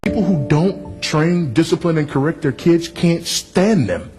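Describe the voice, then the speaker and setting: A man preaching a sermon in a firm, measured, didactic way. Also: on the high side, lively intonation, a little mellow, faint music behind.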